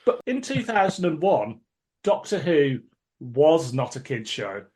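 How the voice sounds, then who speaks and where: A man speaking as a podcast guest in casual discussion, measured and thoughtful. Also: on the high side, sing-song, darkish tone.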